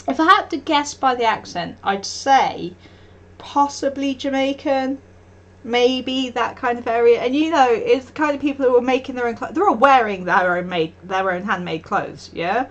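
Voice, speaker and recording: A woman's voice: sits high, animated, normal speed, lo-fi sound. A woman streaming live, chatting casually and telling stories with frequent laughs and acted-out quotes. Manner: chatty storytelling, often laughing, acting out quotes. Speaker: art streamer.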